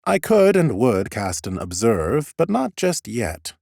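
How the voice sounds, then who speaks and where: Imperious scholarly lich narrator. Pompous, deadpan wit, swooping intonation, dry close studio sound. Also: male voice, medium pitch, slow pace, gravelly, dry recording, heavily acted. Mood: dry, measured. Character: haughty, dry-witted male lich sorcerer, bored scholarly master. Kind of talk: scripted first-person audiobook narration and dialogue.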